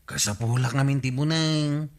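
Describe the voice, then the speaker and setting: A man's voice: mid-range voice, normal speed, natural voice. A man playing a cheeky, forgetful male village borrower in an Ilocano comedy radio drama, hemming and making apologetic excuses. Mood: casual, excuse-making.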